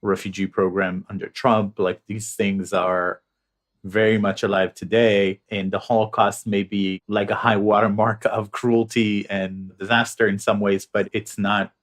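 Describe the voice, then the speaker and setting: Israeli guy interviewing, kinda low voice, thinks out loud as he asks stuff. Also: flat delivery, measured pace. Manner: thoughtful, searching for words. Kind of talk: conversational interview.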